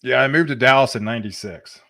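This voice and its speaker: A man's voice: mid-range voice, vocal fry, pushed and bright. A middle-aged man from Texas, hosting a weather channel livestream and chatting casually with viewers. He stumbles and repeats words as he talks. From Texas, USA. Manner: halting, repeats words, chuckles often.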